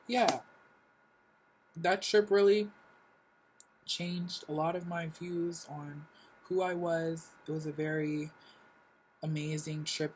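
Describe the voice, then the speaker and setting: A man's voice: on the high side, slight background noise, rough recording. A young man born in Korea, telling his life story casually in a reflective vlog, full of fillers. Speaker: vlogger.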